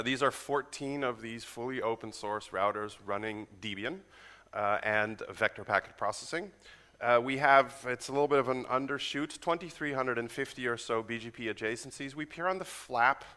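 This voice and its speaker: A man from the Netherlands with an American accent, giving a quick, casual, joking technical talk at a conference. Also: fairly low pitch, pushed and bright. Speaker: conference speaker. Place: conference hall. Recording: reverberant conference hall.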